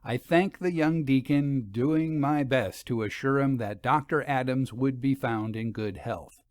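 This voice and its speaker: A man reading a scripted story as narrator, in a measured, literary storytelling manner. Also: mid-range voice, a little mellow, no echo.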